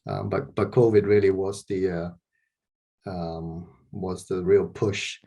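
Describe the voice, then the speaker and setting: Middle-aged man from Malaysia, lowish voice, hesitant guest on a video call. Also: steady tone, takes it slowly, creaky. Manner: hesitant, many restarts and repeated words, soft laughs. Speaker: interview guest, street photographer. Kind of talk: casual interview over video call.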